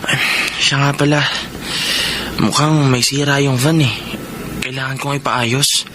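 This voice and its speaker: Lalaking gumaganap na mambobolang kalaguyo, katamtamang boses. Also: male voice, medium pitch, steady tone, measured pace, background noise, natural voice. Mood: sweet-talking. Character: smooth-talking scheming male lover, gambler using older mistress. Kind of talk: Tagalog radio drama acting.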